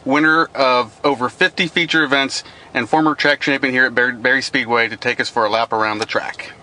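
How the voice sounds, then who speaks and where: A man hosting the segment delivers a brisk, announcer-style introduction. His voice is bright and forward. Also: medium pitch, steady tone, slight background noise. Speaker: host.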